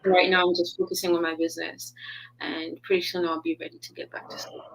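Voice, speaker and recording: Interview guest: woman from Haiti, low husky tone, earnest and chatty. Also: little pitch movement, fast, a bit bright, low-quality recording. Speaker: guest, business founder. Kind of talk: casual interview chat.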